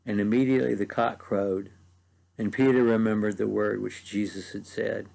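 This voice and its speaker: Gravelly preacher with an Ohio Valley accent. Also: male voice, fairly low pitch, level tone, moderate pace, a little mellow, low-quality recording. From mid-Ohio Valley, USA. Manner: conversational, earnest, with frequent ums. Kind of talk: short devotional Bible teaching.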